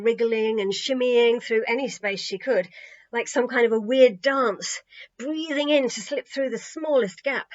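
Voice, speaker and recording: Woman narrating a children's story, slightly muffled. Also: fairly high pitch. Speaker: audiobook narrator.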